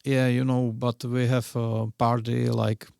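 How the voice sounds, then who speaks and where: A man's voice: medium pitch, little pitch movement, a little slow, vocal fry, darkish tone, slight background noise. A middle-aged man with a Czech accent, a podcast guest talking in casual interview chat, hesitant and full of fillers and broken phrasing.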